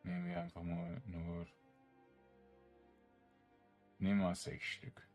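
A man's voice: low voice, flat delivery, normal speed, darkish tone, soft background music. A man giving casual let's play commentary, hesitantly thinking aloud as he plays. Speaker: gamer, let's play host.

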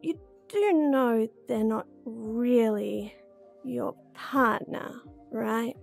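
A woman's voice: a little high, extremely slow, gravelly, soft background music, exaggerated voice. A young woman playing a playful, flustered friend with a secret crush in an intimate audio roleplay, teasing, giggly and hesitant. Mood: surprised, flustered. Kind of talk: intimate one-sided ASMR-style roleplay acting.

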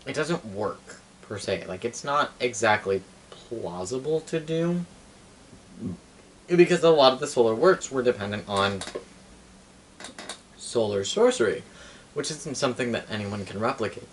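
A young man casually explaining things like a storyteller, halting and correcting himself as he goes, picked up by a camera across a room. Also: mid-range voice, expressive, slight background noise. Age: young adult. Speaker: storyteller and game master. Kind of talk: casual rambling explanation of fantasy game lore. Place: small cluttered living room, indoors.

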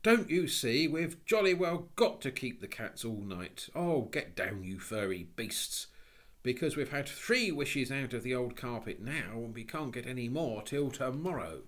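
A man's voice: high-pitched, normal speed, voice pushed up. A man voicing a spirited, indignant schoolboy in a storybook, emphatic and full of schoolboy slang. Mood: bitter, exasperated. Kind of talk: storybook reading.

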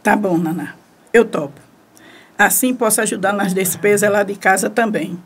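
A woman's voice: medium pitch, moderate pace, husky. An actress playing a practical, curious woman friend in a radio soap opera, with a Brazilian accent. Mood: inquisitive.

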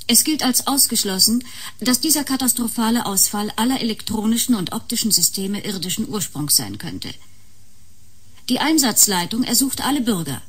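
Serious news lady, fairly high but husky, formal bulletin style. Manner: formal, factual. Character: sober female newsreader of an emergency bulletin. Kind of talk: scripted news reading in a radio drama.

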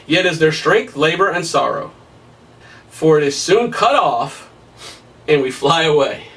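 Emphatic high-voiced preacher, a bit hoarse. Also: male voice, measured pace, distant mic. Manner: emphatic, rising to excited peaks, laughs at his own points. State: somewhat hoarse, losing his voice. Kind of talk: whiteboard Bible study sermon. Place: small room in front of a whiteboard. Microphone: camera at a distance.

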